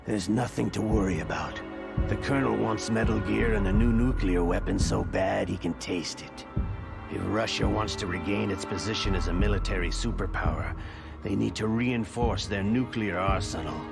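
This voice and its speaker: An actor playing a cool, pragmatic henchman to a villain in a video game cutscene, measured and matter-of-fact. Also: fairly low pitch, vocal fry, loud music behind the voice. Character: cool, pragmatic Russian gunman, the boss's right-hand man.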